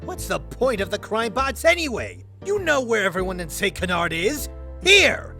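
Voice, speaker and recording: Pompous, wildly animated duck superhero. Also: male voice, high voice, normal speed, vocal fry, soft background music, exaggerated voice. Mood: indignant. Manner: theatrical, grandiose declamation. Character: pompous male duck masked superhero, past his prime. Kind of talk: amateur comic dub of a superhero cartoon.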